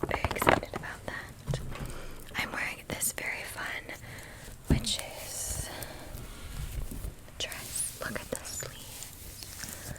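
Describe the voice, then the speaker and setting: A woman hosting ASMR in a breathy whisper, chatting to viewers and playfully repeating words and phrases in a rhythmic way. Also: drawn-out words, pauses often. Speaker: ASMR video host.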